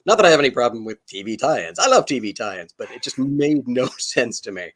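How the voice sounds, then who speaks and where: Male panelist with a mid-range voice, conversational and animated, reworking his sentences as he goes. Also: medium pitch, a little fast. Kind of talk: casual panel discussion.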